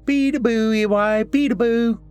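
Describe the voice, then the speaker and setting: A man's voice: a little high, big pitch swings, slow, faint music behind, voice pushed up, heavily acted. A man voicing a playful small toddler boy with childish, mispronounced baby talk in an audiobook story. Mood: gleeful.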